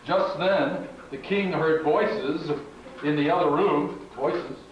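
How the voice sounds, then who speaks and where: High-pitched man narrating in an echoing hall. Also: takes it slowly. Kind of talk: scripted storytelling narration for a puppet show. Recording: echoey hall, far off, dull, very noisy. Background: heavy background noise.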